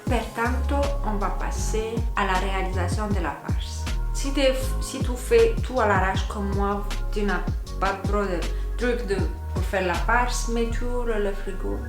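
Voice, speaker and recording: A woman's voice: medium pitch, measured pace. A woman in her home kitchen making a cooking vlog, with a playful, self-mocking way of talking. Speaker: cooking vlogger. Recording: echoey room with background music. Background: background music.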